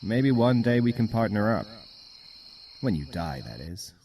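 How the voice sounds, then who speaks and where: A mocking ghost played by a man from a noir radio drama. The voice is deep, dark and husky. It talks slowly and cryptically, taunting as it goes. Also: big pitch swings, exaggerated voice. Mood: sardonic, eerie. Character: mocking ghost of a dead man, Roland Sanchez.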